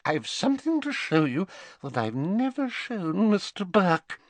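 A man voicing a fussy, vain old rich woman who talks in a shrill, simpering and imperious way. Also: big pitch swings, a little slow, dry recording, exaggerated voice.

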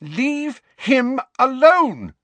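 A man's voice: fairly high pitch, wildly swooping pitch, takes it slowly, fairly bright tone, no room sound, voice pushed up, exaggerated voice. A man voicing a furious, scornful teenage schoolgirl in an emphatic, scolding manner for a storybook. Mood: angry shouting.

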